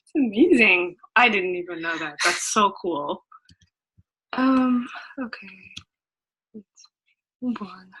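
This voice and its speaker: Slow, hesitant young woman interviewer. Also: medium pitch, animated, background noise. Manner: hesitant, with many fillers. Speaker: student interviewer, podcast host. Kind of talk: interview questions.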